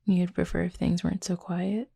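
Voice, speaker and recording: A woman playing a reserved, gently teasing cupid roommate in an intimate audio roleplay, speaking softly and breathily in a dry, measured way. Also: fairly low pitch, level tone, vocal fry, husky, exaggerated voice. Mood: reserved, thoughtful. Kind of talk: intimate roleplay audio drama, addressing the listener.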